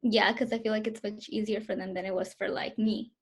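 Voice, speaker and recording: A woman's voice: medium pitch, flat delivery, a little fast, breathy edge. A woman in her twenties answering interview questions over a video call, hesitant and full of fillers. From Southern California, US. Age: 20s.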